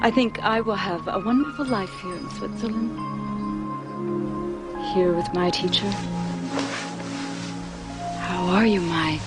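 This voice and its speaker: An actress playing a young woman speaking in a dreamy, affectionate way, over soft music. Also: medium pitch, takes it slowly, long pauses, lightly acted.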